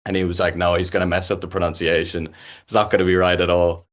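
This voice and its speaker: A man's voice: low-pitched, flat delivery. A young Irish man, a musician guest, chatting casually in an interview with lots of fillers and restarts. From Ireland. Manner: halting, many fillers and restarts.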